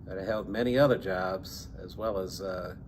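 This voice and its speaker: A man from New Orleans with a fairly low voice talks haltingly, often pausing on fillers. A clear steady hiss of background noise runs through the recording. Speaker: Army veteran. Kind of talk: recorded personal interview.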